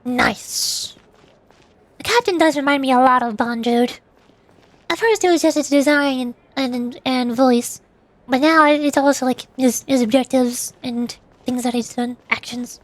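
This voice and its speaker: A woman's voice: high-pitched, unhurried, dry recording, poor audio. A woman game streamer chatting casually and explaining things in a rambling way. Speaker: streamer, virtual YouTuber. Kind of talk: casual game livestream chat.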